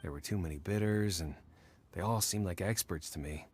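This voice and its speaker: A man's voice: low voice, fairly quick, darkish tone, soft background music, lightly acted. An actor voicing a disappointed male bidder in a game who came away with little, speaking in a glum, resigned way. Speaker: auction bidder. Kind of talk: game voice acting.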